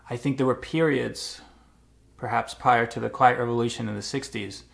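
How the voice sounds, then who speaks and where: A Canadian man vlogging, speaking in a measured, thoughtful way with frequent uhs and small restarts. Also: mid-range voice, creaky. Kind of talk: reflective personal vlog. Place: Montreal.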